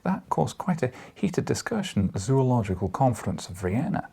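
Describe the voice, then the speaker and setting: A man voicing a gruff, brusque older newspaper editor in an audiobook, speaking in a curt, emphatic way. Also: medium pitch, sing-song, brisk, warm tone, voice pushed up, heavily acted. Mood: brisk, dry.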